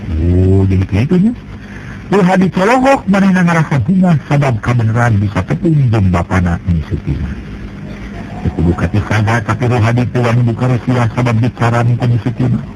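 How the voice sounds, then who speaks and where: A man narrating a traditional folk tale, jumping up in pitch when he voices the characters. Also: noisy background. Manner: lively storytelling, jumps in pitch for characters. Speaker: storyteller.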